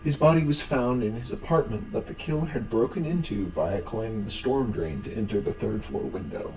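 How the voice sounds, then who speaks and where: A man's voice: mid-range voice, a little fast, darkish tone, rough recording. A man narrating an explainer about a horror series in a scripted recap style. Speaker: video narrator.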